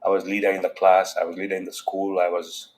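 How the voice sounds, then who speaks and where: Very deep-voiced man from Fiji. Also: monotone, fast, a little mellow. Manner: rambling, many fillers like "you know". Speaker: podcast guest. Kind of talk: casual interview talk.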